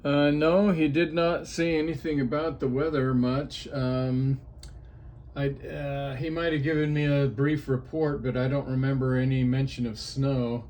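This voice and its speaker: A man from Ohio chatting on ham radio from a portable outdoor setup, unhurried and halting with frequent fillers. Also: mid-range voice, fairly even intonation, darkish tone, slight background noise. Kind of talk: casual radio chat.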